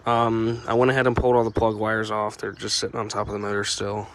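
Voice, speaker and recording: A raspy young man who just woke up, rambling about truck work in a casual garage vlog, filled with "um"s and corrections, recorded on a phone. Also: fairly low pitch, completely flat delivery, fast talker, a bit bright. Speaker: truck restoration vlogger. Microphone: phone camera.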